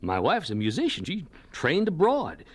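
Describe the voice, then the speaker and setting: A man's voice: fairly high pitch, huge pitch swings, normal speed. An actor playing a genial, persuasive married townsman with a hesitant, folksy, coaxing manner, in a radio drama. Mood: friendly, coaxing.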